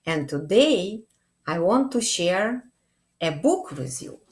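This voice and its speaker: Woman, forties, children's storytime reader. Very unhurried, deliberate, expressive, slightly low register. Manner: deliberate, expressive, frequent check-in questions. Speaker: children's librarian and storytime host. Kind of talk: read-aloud storytime addressed to young children. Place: small indoor room, plain wall.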